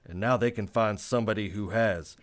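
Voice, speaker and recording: Man acting as a low-voiced, weary Old West marshal. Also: brisk, close to an everyday voice. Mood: resolute, bitter. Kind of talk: radio western acting and first-person narration.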